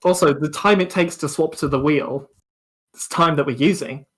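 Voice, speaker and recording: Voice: mid-range voice, normal speed. Casual young co-host bantering on stream. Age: young adult. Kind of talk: casual livestream chat.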